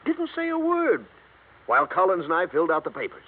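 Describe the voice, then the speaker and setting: An actor playing a wry, chatty insurance agent in a 1950s radio drama. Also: male voice, sits high, wildly swooping pitch, brisk, slightly rough, exaggerated voice. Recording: tinny lo-fi 1950s radio broadcast.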